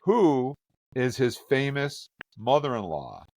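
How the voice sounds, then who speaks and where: A man's voice: mid-range voice, big pitch swings, slow, a little mellow, a little hiss or hum. Man hosting a trivia round. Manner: joking, runs the trivia segment. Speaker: podcast host. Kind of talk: casual chat.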